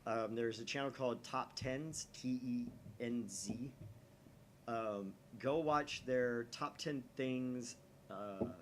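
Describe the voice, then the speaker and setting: A man's voice: mid-range voice, slow. A man chatting casually in a nerdy, rambling way as a gaming host, swearing freely. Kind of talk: casual chat while voicing a visual novel. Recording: faint background noise.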